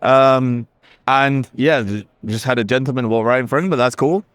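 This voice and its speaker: A man's voice: medium pitch, moderate pace, a bit bright, smooth, dry recording, studio quality. A man representing a company, giving an enthusiastic, casual product demo on a busy trade show floor. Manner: enthusiastic, conversational, with fillers. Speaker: company representative demoing a camera.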